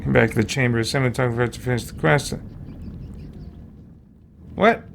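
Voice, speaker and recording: A man's voice: medium pitch, moderate pace, vocal fry, a little hiss or hum. A man streaming a video game, commenting casually to himself with folksy, mildly exasperated exclamations.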